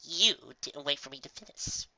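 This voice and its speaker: Woman playing a pompous, bright-voiced unicorn official. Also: deep voice, moderate pace, dry recording, dull audio. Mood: smug lecturing. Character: smug, dismissive female unicorn bureaucrat, self-satisfied.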